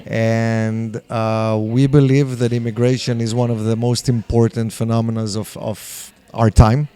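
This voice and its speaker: Earnest Israeli man, even and low-key. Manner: measured, earnest, hesitant with fillers. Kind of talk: speaking to camera at an event.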